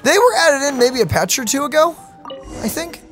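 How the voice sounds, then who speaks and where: A woman gaming streamer chatting casually in a playful, excitable, slangy way. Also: sits high, moderate pace. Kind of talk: casual let's play chat. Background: game music.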